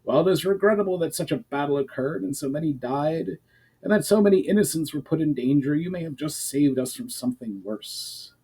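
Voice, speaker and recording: A man's voice: a little high, animated, brisk, warm tone, breathy edge, pitched above the performer's own voice, rough recording. A man voicing a stern, authoritative knight commander with formal speech in a fantasy audiobook. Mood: reassuring. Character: stern, commanding male knight commander, protective of his city.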